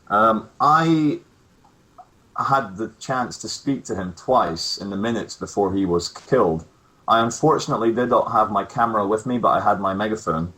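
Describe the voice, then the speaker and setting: Low-pitched man recounting as an interview guest. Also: fairly bright tone, low-quality recording. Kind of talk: spoken account in an interview.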